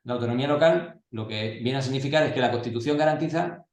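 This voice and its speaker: A man's voice: mid-range voice, quick pace. A man lecturing a class of local-government staff, asking and answering his own questions in a conversational way. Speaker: course instructor. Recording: echoey classroom.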